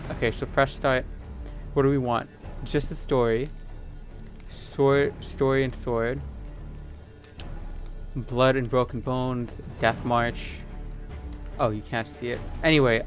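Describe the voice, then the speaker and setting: A man with a mid-range voice does casual let's play commentary. He rambles along, thinking out loud as he hosts. Music plays faintly behind his voice. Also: medium pitch, gravelly. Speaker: gaming video host.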